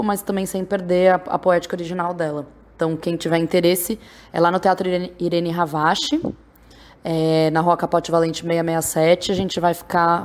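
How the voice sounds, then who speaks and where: Lower-voiced Brazilian woman, fairly even intonation. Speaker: podcast participant, theatre director. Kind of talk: casual informational talk. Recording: faint background noise.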